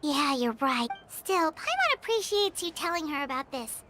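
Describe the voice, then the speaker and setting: A woman voicing a tiny, excitable childlike fairy companion in a video game, expressive and easily indignant. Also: high voice, normal speed, soft background music, exaggerated voice. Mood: supportive. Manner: refers to self in third person, indignant and expressive.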